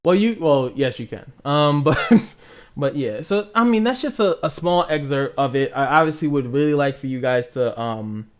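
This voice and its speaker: A man's voice: a little high, expressive, normal speed, smooth. Casual male streamer, dull lo-fi sound. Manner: informal, swearing, acts out imagined reactions. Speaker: livestream host talking to chat viewers. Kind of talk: casual rambling livestream chat.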